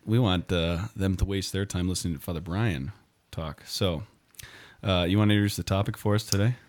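Low voice guy priest hosting a podcast, jokey banter, sharing a mic. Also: fairly quick, darkish tone. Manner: joking, teasing. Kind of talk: casual banter. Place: studio, sharing a microphone.

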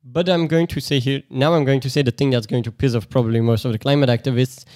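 A man's voice: medium pitch, fairly even intonation, fast talker, darkish tone, no echo, studio quality. A man in a casual discussion who hesitates with many "uh"s and speaks bluntly.

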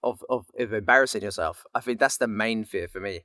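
A man's voice: lowish voice, brisk, sharp tone, no echo, clean studio sound. A man chatting casually as a guest voice actor, self-deprecating, repeating words and checking that he is understood. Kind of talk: casual chat.

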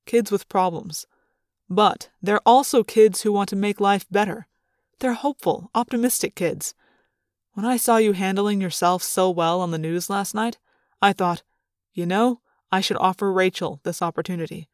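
A woman narrator takes on a middle-aged male school official for a storybook. He sounds earnest and measured, pitched low for the reader, with a patronizing, probing drone. The recording is dry and close. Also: no echo, close to an everyday voice. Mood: earnest, flattering.

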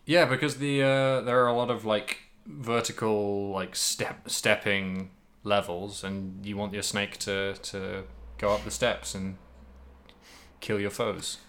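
A man's voice: fairly low pitch, level tone, takes it slowly, a bit bright. A man co-hosting a podcast, talking casually about video games with wry, mock-dramatic phrasing and casual swearing. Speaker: podcast co-host. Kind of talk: casual video game discussion.

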